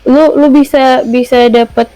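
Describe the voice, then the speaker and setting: A woman's voice: high voice, steady tone, normal speed, warm tone, soft background music, rough recording. A young woman with a Jakarta accent, chatting casually as a podcast co-host and mixing slang with English words. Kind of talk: casual chat.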